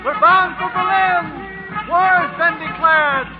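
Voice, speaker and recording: An actor playing an excited marching French soldier, calling out in a 1930s radio drama. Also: male voice, very high voice, slow pace. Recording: dull 1930s radio, crowd and music.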